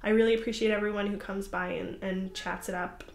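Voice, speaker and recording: A woman's voice: medium pitch, steady tone, fairly quick, gravelly. A young woman hosting a crafting podcast, chatting casually to the camera in a rambling, apologetic way with lots of fillers. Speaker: podcast host. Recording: roomy echoey room.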